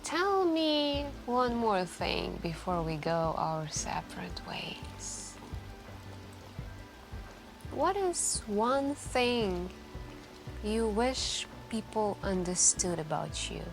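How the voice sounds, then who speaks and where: A woman playing a wistful, soft-spoken stranger in a late-night café, confiding hesitantly in an intimate ASMR roleplay. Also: mid-range voice, very animated, gravelly, heavily acted. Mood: tender, grateful. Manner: hesitant, musing, confiding, with gentle pauses. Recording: continuous quiet background music. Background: quiet background music.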